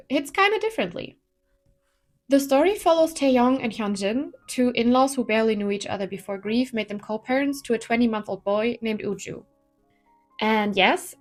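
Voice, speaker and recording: Woman, drama reviewer, fairly high voice, earnest and chatty, faint music underneath. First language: not Korean. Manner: earnest, conversational, lightly humorous. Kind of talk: scripted review narration.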